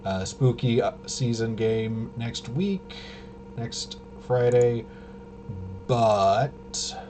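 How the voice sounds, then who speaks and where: A man hosting a livestream with casual, unscripted commentary, talking in a halting, rambling way and often trailing off mid-sentence. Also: medium pitch, warm tone. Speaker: livestream host. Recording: noisy, with clear background hum.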